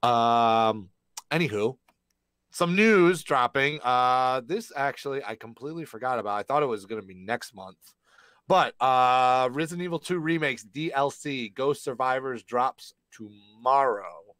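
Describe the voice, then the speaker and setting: A man co-hosting a gaming livestream, slightly raspy from being sick, chatting and reading news aloud with a rambling manner. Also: mid-range voice, expressive, unhurried, fairly bright tone, no room sound. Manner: rambling, with many fillers.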